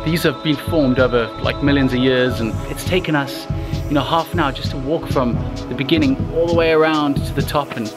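A man in his thirties, vlogging with excitement while talking to a handheld camera in the jungle and inside a huge cave. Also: medium pitch, a little fast, loud music behind the voice. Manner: enthusiastic, excited, talks straight to handheld camera. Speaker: travel vlogger. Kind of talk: casual travel vlog. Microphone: handheld camera at arm's length.

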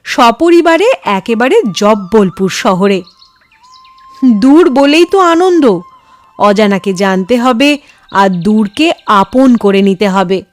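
A woman narrates a story in a high, very animated voice. She takes her time over each phrase with measured, dramatic delivery. The recording is close and dry. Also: no room sound. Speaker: storyteller, channel host. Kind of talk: expressive scripted story narration.

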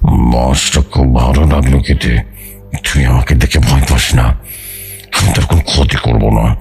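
A man voicing a big hairy male jinn in a horror audio story, gentle and friendly, speaking in a slow, reassuring, familiar way. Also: a bass voice, steady tone, gravelly, breathy edge, faint music behind, pitched below the performer's own voice, heavily acted. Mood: kindly, pleased.

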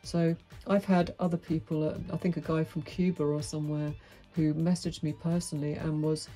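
A middle-aged Englishwoman with a deep, husky, mellow voice talks to camera in a small, dim indoor room. She is frank and outspoken, with a dry, wry edge. Faint music plays behind her. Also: level tone, normal speed. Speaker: vlogger. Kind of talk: candid monologue to camera.

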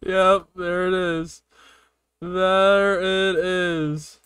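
A man's voice: high-pitched, level tone, extremely slow, a bit bright, a little hiss or hum. A man in his twenties giving casual live reaction commentary into a close desk microphone at home. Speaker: reaction video host. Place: small room at home, indoors.

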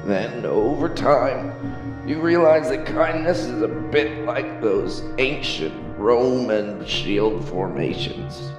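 A man as a slow, reflective narrator. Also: medium pitch, roomy sound, long reverb tail, recorded from a distance. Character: reflective male narrator musing on kindness. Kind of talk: slow philosophical monologue.

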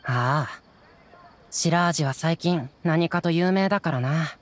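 A man's voice: a little high, a little slow, faint music behind, natural voice. An actor playing a chatty, modest, helpful townsman in a Japanese anime game, talking in a casual, informative way.

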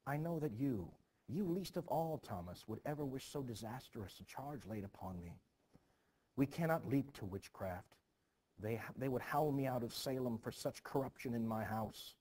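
A man's voice: mid-range voice, animated, normal speed, a little mellow, slight background noise, close to an everyday voice. A man reading the part of an anxious, defensive village reverend in a stage play, flustered and pleading, repeating himself.

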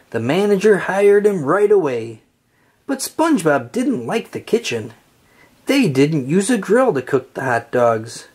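An expressive reader narrating a children's story aloud and acting out the dialogue. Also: medium pitch, husky.

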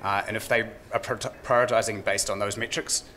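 A man's voice: on the low side, steady tone, bright, forceful tone, a little hiss or hum. A young man with a New Zealand accent giving a conference keynote from a podium into a handheld mic, casual with many "uh" fillers. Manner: brisk, many "uh" fillers, conversational. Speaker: keynote speaker.

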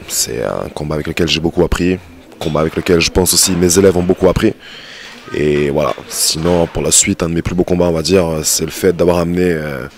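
A man with a very low voice talks about his fight team, pausing often with fillers. He speaks into a handheld mic in a curtained indoor lounge. His tone stays low and steady. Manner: hesitant, many fillers. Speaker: fight team head coach. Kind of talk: group interview. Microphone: handheld mic with windscreen.

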